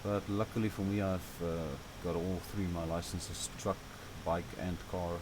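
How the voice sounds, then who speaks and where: A deep-voiced man with a South African accent talks through a driving game in a loose, chatty way, with fillers and the odd grumble. An air-conditioner hum runs steadily underneath. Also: normal speed. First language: Afrikaans. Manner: relaxed, rambling and chatty, with fillers and light grumbling. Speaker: gaming channel host. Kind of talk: casual gameplay tutorial commentary.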